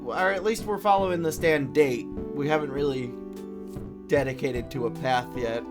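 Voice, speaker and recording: A woman's voice: rumbling low, moderate pace, music in the background. A woman hosting a casual live game stream and chatting with co-players and viewers. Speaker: livestream host.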